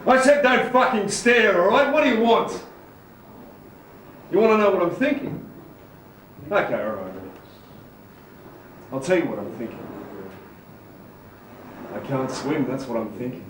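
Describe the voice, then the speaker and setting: An actor playing a mad, haunted sailor in an intense, theatrical stage monologue, given to sudden outbursts. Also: male voice, medium pitch, very animated, a little slow, pauses often. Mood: agitated. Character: mad, haunted sailor confessing a childhood near-drowning. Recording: echoey theatre, distant mic, faint noise.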